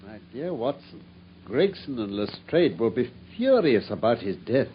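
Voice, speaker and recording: An actor playing a confident Victorian detective with a British accent, precise and lecturing, in a radio drama. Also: male voice, medium pitch, very animated, unhurried, slight background noise. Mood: self-satisfied. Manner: precise, lecturing, self-assured. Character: confident, analytical male Victorian detective explaining his methods. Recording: dull, muffled radio drama recording.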